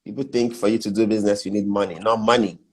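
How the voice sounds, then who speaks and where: A man's voice: lowish voice, fairly even intonation, fast talker, darkish tone, slightly rough. A middle-aged Nigerian man with a Nigerian accent, a guest mentor who talks through business steps in a casual interview, repeating his key points with emphasis.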